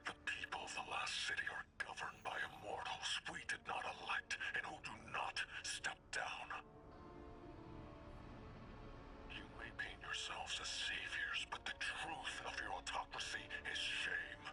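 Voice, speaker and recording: An actor playing a menacing, proud and fanatical dark warlord in a video game, hissing out slow, taunting, grandiose monologues. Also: male voice, medium pitch, expressive, over music, close to an everyday voice. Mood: contemptuous. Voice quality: breathy, hissing whisper with a hard edge. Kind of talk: dramatic video game villain acting.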